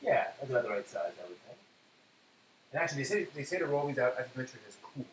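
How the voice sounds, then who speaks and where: A man's voice: medium pitch, big pitch swings, racing. A man hosting a casual cooking segment, thinking aloud in a hesitant way as he goes. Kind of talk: casual cooking show chat. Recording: echoey room with faint hiss.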